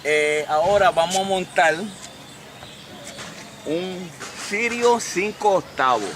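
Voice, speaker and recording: A man with a Puerto Rican accent, a native Spanish speaker, gives a casual hands-on tutorial as a hobbyist, halting, repeating and correcting himself as he goes. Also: sits high. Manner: halting, repetitive, self-correcting. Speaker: amateur radio hobbyist giving a tutorial. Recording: noisy amateur camcorder sound.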